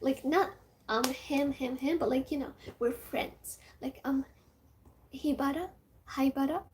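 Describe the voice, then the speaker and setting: A young woman from Morocco with a Moroccan accent, Amazigh her first language, chatting casually and excitably, rambling with many fillers and dropping into whispers. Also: high-pitched, measured pace, slight background noise. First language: Amazigh (Tamazight). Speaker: vlogger.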